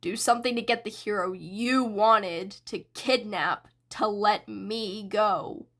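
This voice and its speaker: A surly young villain man, voiced by a woman reading aloud, sneering and cursing at a slow, dragging pace. The voice stays light and bright in a fan audiobook. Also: mid-range voice.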